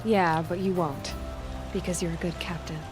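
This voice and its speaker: An actress playing a young huntress heroine in a video game cutscene, speaking naturally in a direct, plain-spoken way. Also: female voice, on the low side, fairly even intonation, normal speed, over music, lightly acted. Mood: teasing, friendly.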